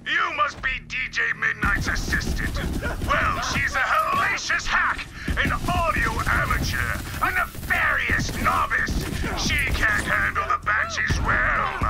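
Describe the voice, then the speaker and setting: A man's voice: very high-pitched, big pitch swings, slow pace, creaky, full character voice. A man voicing a sinister, bombastic villain DJ in a video game, sneering theatrically. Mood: gleeful scorn. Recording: loud music behind the voice.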